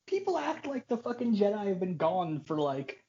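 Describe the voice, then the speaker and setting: A husky voice in their twenties, swinging widely in pitch, chats on a film podcast. The delivery is exasperated and peppered with swearing. The recording is slightly muffled. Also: very animated, normal speed, mellow. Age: 20s. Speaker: podcast co-host. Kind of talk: casual movie discussion.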